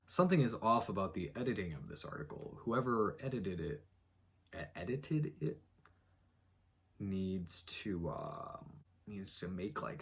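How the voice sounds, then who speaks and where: A man giving a theatrical, mock-dramatic reading of an article, breaking off for muttered sarcastic asides. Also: breathy edge. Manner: theatrical, swings between booming and muttered.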